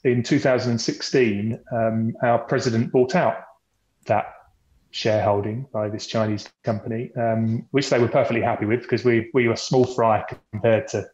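A man's voice: mid-range voice, steady tone, moderate pace, darkish tone. A man speaking as a company representative and podcast guest, explaining the business in a conversational presentation style, often repeating words as he goes. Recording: boxy echoey room, dull lo-fi sound.

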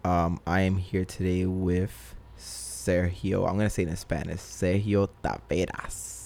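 A young man hosting a casual podcast interview, relaxed, with lots of ums and easy laughs. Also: low-pitched, measured pace, creaky. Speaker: podcast host.

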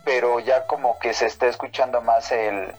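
A man hosts a casual podcast, teasing his guest and laughing often. His voice has an ordinary middle pitch. Faint music runs behind the conversation. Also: fairly even intonation, normal speed. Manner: laughs often, jokey interviewer. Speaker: podcast host. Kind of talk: casual chat.

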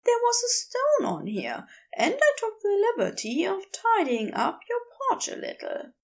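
A woman voicing a polite, fussy, earnest gentleman in audiobook character dialogue. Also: extremely high pitch, huge pitch swings, measured pace, pitched above the performer's own voice, full character voice. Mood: prim.

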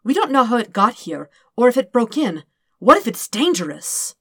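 A woman's voice: on the high side, big pitch swings, moderate pace, fairly bright tone, slightly rough, no echo, clean studio sound, voice pushed up, full character voice. A woman voicing a panicky, dramatic male detective in a story, nervous and insistent. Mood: panicked.

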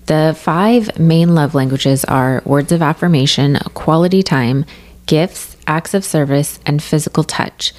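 Low-voiced woman podcast host. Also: darkish tone. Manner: organized, reads stats and prompts. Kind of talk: podcast hosting, partly read intro.

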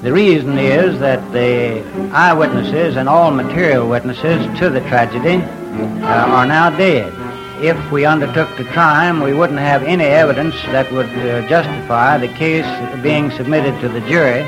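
A man voicing a formal, measured judge who pauses hesitantly as he explains, on an old radio documentary. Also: mid-range voice, voice pushed up, close to an everyday voice, rough recording. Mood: measured, explaining. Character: measured Southern judge explaining a dropped case. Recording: old radio recording with music.

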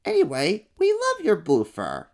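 Brief: man playing a squeaky, outspoken little monster kid, lively, storybook. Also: a little slow, creaky, heavily acted. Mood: earnest. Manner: high, piping and blunt.

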